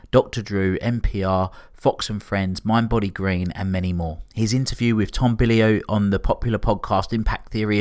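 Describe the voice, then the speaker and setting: A man hosting a podcast, his voice on the low side and recorded close and dry. He reads a guest's credentials, then asks his questions with small stumbles and repeated words. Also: no echo. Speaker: podcast host. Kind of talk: scripted intro then interview questions.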